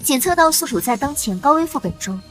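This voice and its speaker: A woman voicing an artificial intelligence system that makes formal, game-like announcements in an animated comic. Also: sits high, fast talker, soft background music. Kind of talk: scripted system notification.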